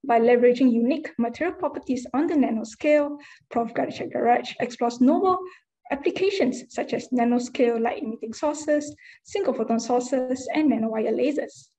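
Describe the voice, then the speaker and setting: A woman's voice: a little high, a little fast, warm tone, poor audio. A young woman moderating a university webinar, reading a formal introduction with a few fillers and slips.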